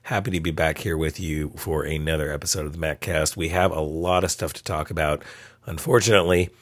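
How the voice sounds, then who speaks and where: A man hosting a solo podcast, sounding hoarse from a cold, talking casually with frequent ums. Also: a bass voice, fairly even intonation, fairly quick, no echo, studio quality. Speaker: podcast host. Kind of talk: solo tech podcast talk.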